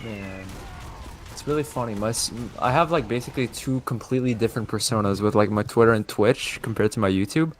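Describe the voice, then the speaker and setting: Chill guy on a call, kinda low voice, swears a lot, jokes around. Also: brisk, slight background noise. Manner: swears casually, laid-back and joking. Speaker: guest streamer. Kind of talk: casual chat on a voice call.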